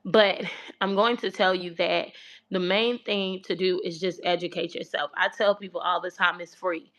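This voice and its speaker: A woman with a soft, childlike baby voice chatting casually to viewers on a livestream she hosts. She rambles, repeats herself and talks about her faith. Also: lowish voice, fairly even intonation, normal speed. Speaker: host of a relocation livestream. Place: home abroad in Kenya. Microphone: phone livestream.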